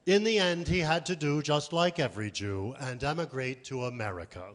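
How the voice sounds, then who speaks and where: A man's voice: fairly high pitch, normal speed. A man performing a scripted literary reading on stage at a live event.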